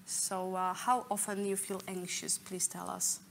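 Halting woman, faint background noise. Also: fairly low pitch, little pitch movement, creaky. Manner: halting, searching for words, earnest. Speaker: speaker leading an online talk. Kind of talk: explanatory talk to an audience. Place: indoor room, office-like.

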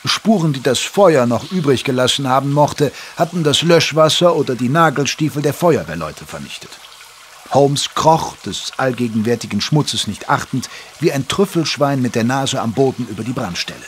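An actor playing a wry gentleman doctor who narrates a German detective audio drama in a measured, literary manner. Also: medium pitch, animated, slight background noise, natural voice. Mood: measured narration. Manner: measured, literary, dryly amused.

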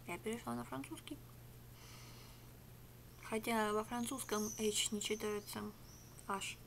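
A middle-aged woman rambling through a sarcastic product review in a home room, switching between a whisper and a soft speaking voice. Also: on the high side, fairly even intonation, a little slow, vocal fry, a little hiss or hum. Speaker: ASMR vlogger. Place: small home room with rug on wall. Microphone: webcam at arm's length.

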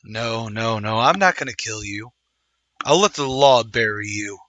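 A man's voice: fairly low pitch, measured pace, a bit bright, no echo. A man playing a heroic, resolute masked cowboy in an old-time radio western, earnest and dramatic. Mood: stern.

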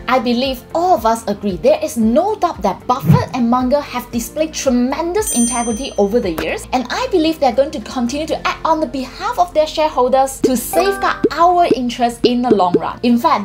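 A woman in her thirties hosting an investing channel, talking earnestly to camera in a small room and putting rhetorical questions to herself. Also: sits high. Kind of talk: explainer vlog to camera. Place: small indoor room with bookshelf and plant.

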